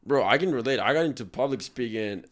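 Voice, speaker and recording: Male host, fast talker, non-native english, casual, agreeable. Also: medium pitch, expressive. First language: not English. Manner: agreeable, chatty, loose. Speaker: podcast host. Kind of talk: casual conversation.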